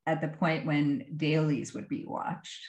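A woman filmmaker and artist answering interview questions in a measured, precise way, breaking into soft laughs. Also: sits low, little pitch movement, roomy sound, lo-fi sound. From diaspora of Afghanistan, grew up abroad.

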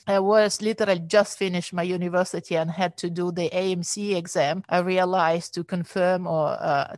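This is a Ukrainian-accented woman in her forties, speaking earnestly and reflectively about her path into medicine. Her voice sits at a middle pitch and sounds dry and close, with no room echo. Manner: earnest, reflective, non-native phrasing. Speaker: emergency physician. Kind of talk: personal story on a podcast.

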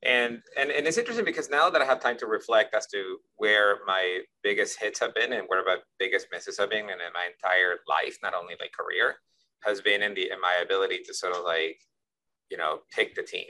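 A man's voice: on the low side, a little fast, sharp tone, poor audio. A man from Ecuador, a guest in an interview, telling stories at length with many restarts and checks like "you see what I mean?". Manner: rambling, repeats words, asks "you see what I mean?". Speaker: guest, company leader. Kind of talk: conversational interview answers.

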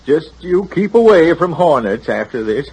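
A very raspy country doctor, genial and patient, in an old-time radio play. His pitch sits fairly high and swings freely as he drawls his homespun advice. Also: big pitch swings, normal speed, darkish tone, heavily acted, rough recording. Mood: genial, wry. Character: genial folksy small-town family doctor, wry and patient.

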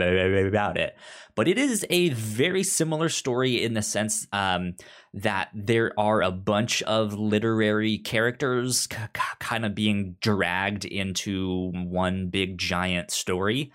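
A man's voice: fairly low pitch, a bit bright. A man hosting a podcast in casual chat, stuttering as he repeats sounds and syllables. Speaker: podcast host.

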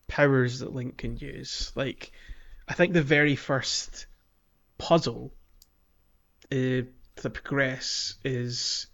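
A man co-hosting a podcast, chatting casually about games on a slightly lo-fi recording. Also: mid-range voice. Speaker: podcast host. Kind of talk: casual gaming podcast chat. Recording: slightly dull, lo-fi sound.

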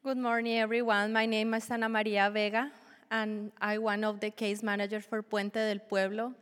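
A woman in her forties from Colombia, a native Spanish speaker with a Colombian accent, giving an earnest, measured church testimony with small restarts. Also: medium pitch, little pitch movement, a bit bright, smooth. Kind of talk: prepared personal testimony. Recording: long hall reverb.

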